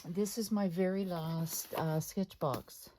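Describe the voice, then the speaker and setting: A woman hosting her own art channel, chatting casually at her dining table. She rambles with many fillers and asides to viewers, her voice lower than usual today. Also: fairly even intonation, slow, dark tone, slight background noise. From Canada. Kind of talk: casual unscripted chat. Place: home dining room table.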